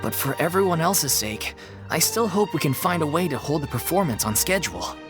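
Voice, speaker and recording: A man's voice: a little high, very animated, brisk, music in the background. An actor playing an earnest, anxious young man who runs an amateur theater troupe in a video game, polite and hesitant. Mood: wistful, downcast.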